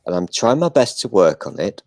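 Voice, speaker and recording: An older man hosting a casual live chat, talking in a flat, self-deprecating way and swearing now and then. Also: low voice, brisk, gravelly, no room sound.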